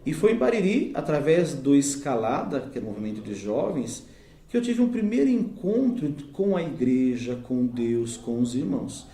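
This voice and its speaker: A man in his thirties, from Brazil, shares his religious testimony in an earnest, reflective way. His voice is mellow and warm. The room around him sounds echoey. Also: medium pitch, very animated, normal speed. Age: 30s. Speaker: deacon giving testimony. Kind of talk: personal faith testimony.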